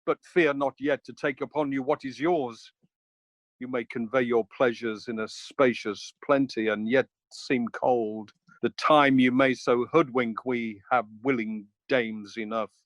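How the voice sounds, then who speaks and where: A loyal, earnest nobleman acted by a man declaims Shakespearean verse in a medium-pitched male voice. It is a radio play performance, patriotic and indignant in temper, with a dry, close sound. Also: steady tone, unhurried, no echo, natural voice. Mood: counselling, earnest.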